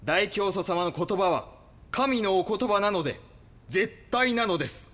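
An actor playing a cold, fanatical cult enforcer, stern and preachy with polite menace, in an audio drama. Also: male voice, sits high, animated, a little slow, fairly bright tone. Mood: cold, righteous. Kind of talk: Japanese audio drama acting.

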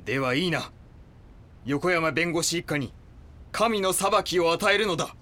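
A man's voice: on the high side, animated, a little slow, bright, forceful tone. A man playing a zealous, ruthless cult lieutenant in an audio drama, reverent and commanding. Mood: intense, obedient.

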